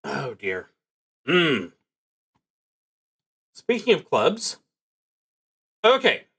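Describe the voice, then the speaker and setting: A man streaming a solo tabletop roleplaying game, musing aloud in a halting way and reading out prompts as he plays. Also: a little high, huge pitch swings, fairly bright tone, husky. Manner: halting, musing, pauses mid-sentence.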